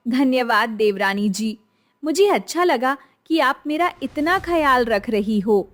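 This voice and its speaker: A woman playing a meek, kindly young daughter-in-law, gentle and deferential, in a melodramatic moral story. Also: sits high, animated, measured pace. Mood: affectionate.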